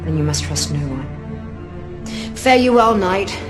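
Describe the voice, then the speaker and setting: A woman's voice: fairly low pitch, animated, takes it slowly, over music. An actress playing a grave, guarded rebel leader and mother in a video game, speaking in formal, solemn phrases. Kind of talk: dramatic video game acting.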